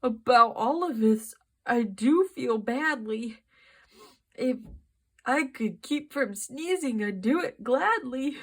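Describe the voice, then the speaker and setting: A woman's voice: a little high, lively intonation, slow pace, full character voice. A woman voicing a big, timid male elephant in a storybook reading, fretful and apologetic. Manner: fretful, apologetic, then panicky.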